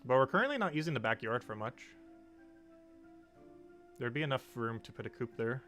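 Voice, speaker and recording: Rambling low-voiced streamer with music behind. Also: male voice, lively intonation, brisk, fairly bright tone. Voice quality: low-pitched voice. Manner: rambling, laughs often, goes off on tangents. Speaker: live streamer. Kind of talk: casual live-stream chat while gaming.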